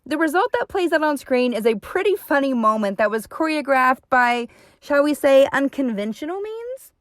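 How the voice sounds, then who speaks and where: Woman, host, scripted narration, upbeat, higher voice, dry recording. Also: sing-song, moderate pace, dry recording.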